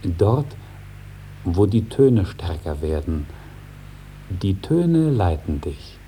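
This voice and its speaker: Casting: a solemn, sly old dragon, very soft dark timbre, widely varied intonation, old radio drama with faint hiss. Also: male voice, low voice, sing-song, full character voice. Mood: measured instructing. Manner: measured, formal, admonishing. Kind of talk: 1950s German radio play acting. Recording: faintly hissy 1950s radio recording.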